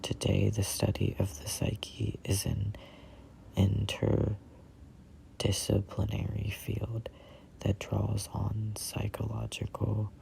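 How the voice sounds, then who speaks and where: Speaker in their twenties, whispered ASMR book reading, very creaky, drawn-out pace, halting delivery. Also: sits low, completely flat delivery, warm tone, slight background noise, rough recording. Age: 20s. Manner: halting, deliberate phrasing with stumbles over words. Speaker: reader of a self-help book. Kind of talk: whispered ASMR read-along.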